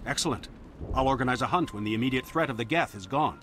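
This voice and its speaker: An actor playing a practical, courteous colony leader in a video game, businesslike and grateful. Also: male voice, mid-range voice, quick pace, fairly bright tone, a little hiss or hum, lightly acted.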